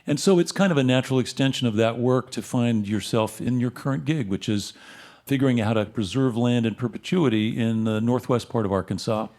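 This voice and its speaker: A man's voice: medium pitch, brisk, a little mellow. A man hosting a live radio show on stage, introducing and interviewing guests in a conversational way. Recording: live stage with audience crowd.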